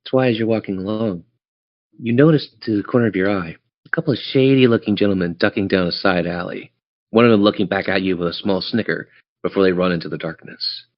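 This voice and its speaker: A man's voice: sits low, fast, no echo, muffled recording. A man narrating a fantasy tabletop game dramatically as game master.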